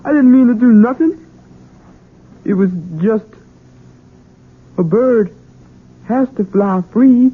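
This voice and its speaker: A high, husky-voiced simple country son, gentle and troubled. He speaks slowly and haltingly in a childlike drawl. It sounds like a muffled vintage radio play. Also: exaggerated voice. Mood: sorrowful, pleading. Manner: halting, childlike, folksy speech. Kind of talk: 1970s radio drama acting.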